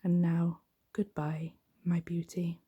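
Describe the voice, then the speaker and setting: A woman softly voicing a sad, lovelorn, gentle male Beast in a bedtime storybook reading, breathy and pleading. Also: fairly low pitch, completely flat delivery, takes it slowly, narrow, tinny audio, heavily acted. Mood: sad, resigned. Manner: soft, mournful, breathy.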